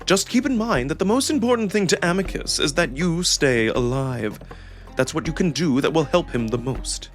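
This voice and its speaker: A man acts out a young male jackal prince in a visual novel. He is smug and calculating, with courtly politeness and a very lively, swooping intonation over soft music. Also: fairly high pitch, pitched above the performer's own voice, lightly acted. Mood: measured, cautioning. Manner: measured, teasing, theatrically polite.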